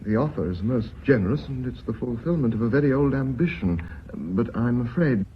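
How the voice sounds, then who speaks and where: A British man acting a modest, polite, flustered businessman in a 1960s radio play, halting in his speech. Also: medium pitch, lively intonation, close to an everyday voice. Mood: hesitant, grateful. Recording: muffled, noisy old radio recording.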